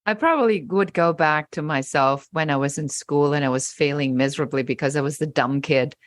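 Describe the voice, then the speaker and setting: A woman's voice: low voice, brisk, dry recording. Emphatic German-accented woman, dry close mic. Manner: emphatic, builds lists in rising bursts. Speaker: guest. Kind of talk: podcast interview.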